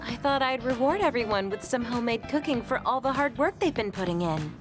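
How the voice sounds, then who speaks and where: Woman acting sweet, high-voiced young game heroine. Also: expressive, fairly quick, natural voice. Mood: cheerful, eager. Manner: gentle, earnest, polite. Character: sweet, polite young woman, eager, guileless, vulnerable. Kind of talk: English video game voice acting. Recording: faint music behind the voice.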